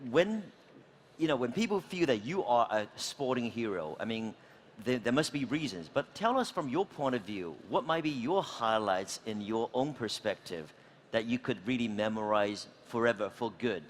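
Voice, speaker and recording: A man's voice: medium pitch, expressive, moderate pace. A man moderating a conference panel, asking long, rambling questions full of fillers. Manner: rambling, filler-heavy questions with encouraging backchannels.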